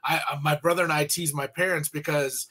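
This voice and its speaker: A man's voice: on the high side, steady tone, a little fast, sharp tone, slightly rough. A man hosting a casual interview over a video call from a room at home, chatty and enthusiastic. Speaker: interview host.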